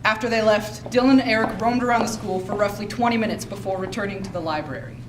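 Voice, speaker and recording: A woman's voice: medium pitch, quick pace. A woman reading scripted dramatic narration for a stage readers theater piece. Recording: noisy, far from mic.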